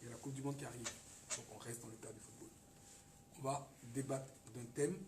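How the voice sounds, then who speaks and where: A man's voice: medium pitch, steady tone, a little fast. A man from the Ivory Coast, a guest in a casual chat, who speaks hesitantly, repeats words and stresses points now and then. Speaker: radio guest. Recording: echoey room, voice from far off, faint noise.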